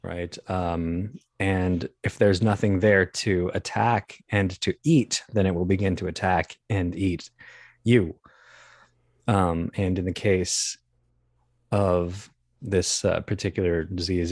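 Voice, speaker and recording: A man in his early thirties telling anecdotes as an interview guest, in a halting, wry, self-deprecating way. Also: deep voice, no echo. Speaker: guest, voice actor and comedian. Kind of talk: casual interview, anecdotal storytelling.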